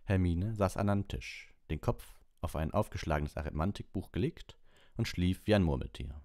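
A man's voice: low voice, moderate pace, darkish tone, no room sound, clean studio sound. A man reading a story aloud as scripted audiobook narration. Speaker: amateur audiobook reader.

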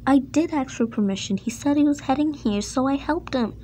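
A woman's voice: high voice, fairly quick, pitched above the performer's own voice, close to an everyday voice. A woman voicing an earnest male steam engine in a children's story, protesting and defiant at being wronged.

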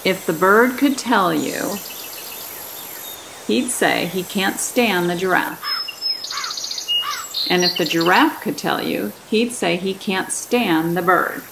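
A woman reading a children's picture book aloud as an expressive, deliberate narrator, putting dramatic emphasis on key words. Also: on the low side, vocal fry, noisy background. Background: music behind the voice.

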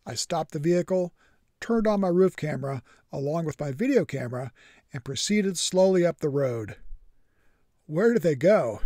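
A man's voice: fairly high pitch, dark tone, no room sound. Dry, matter-of-fact male narrator. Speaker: vlogger. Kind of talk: scripted voice-over narration.

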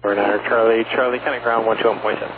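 Male tower controller, monotone delivery, halting phrasing, heard through tinny radio with clear static. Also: fairly low pitch. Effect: aviation radio. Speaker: airport tower air traffic controller. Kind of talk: air traffic radio communication. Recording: tinny, noisy air traffic radio.

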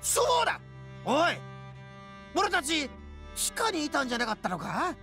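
A man voicing a brash young oni gang boss in an anime game, boisterous and cocky, with rough, swaggering, slangy speech. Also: extremely high pitch, big pitch swings, moderate pace, pushed and bright, faint music behind, heavily acted. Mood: cocky, excitable.

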